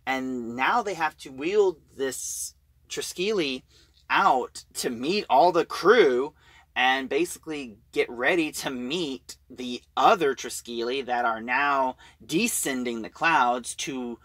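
A man giving an informal story recap as a reviewer, talking in a halting, conversational way. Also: mid-range voice, a bit bright, dry recording. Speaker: audio drama reviewer.

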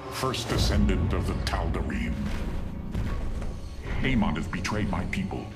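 An actor voicing an arrogant, contemptuous alien warlord in a video game, haughty and menacing. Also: male voice, low-pitched, sing-song, a little slow, vocal fry, loud music behind the voice, full character voice. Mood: imperious scorn. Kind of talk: video game character acting.

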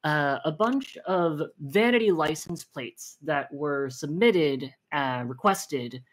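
Lower-voiced woman explaining game rules. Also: expressive, slow pace. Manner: explains rules at length with many fillers. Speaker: game show host. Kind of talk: casual livestream game show hosting.

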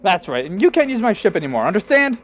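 A man's voice: high voice, very animated, fast talker, a bit bright, muffled recording, slight background noise. A man voicing a greedy, cowardly, bossy sea captain in a cartoon parody, whiny and blustering.

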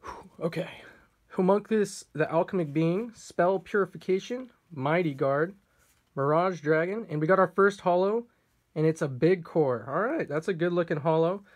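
A man casually narrating a card pack opening off camera, reading out card names, a little hoarse. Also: on the high side, normal speed. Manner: relaxed, reads card names off one by one. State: slightly hoarse, clearing throat. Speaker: card collector opening packs. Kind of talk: casual unboxing commentary. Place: indoors at a table.

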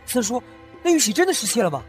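A man's voice: extremely high pitch, big pitch swings, very fast, faint music behind. A man voicing a curious, naive young master in an audio drama who talks eagerly and asks many questions.

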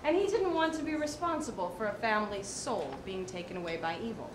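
A woman's voice: mid-range voice, lively intonation, fairly quick, roomy sound, background noise. A woman giving a dramatic reading of a short story, recorded live at an indoor venue. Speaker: drama student or college staff reader.

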